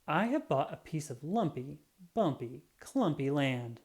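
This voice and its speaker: A woman's voice: sits low, big pitch swings, unhurried, darkish tone, heavily acted. A woman voicing a cheerful, encouraging male lumber yard boss in a children's storybook. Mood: announcing. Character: upbeat man, boss of a lumber yard crew.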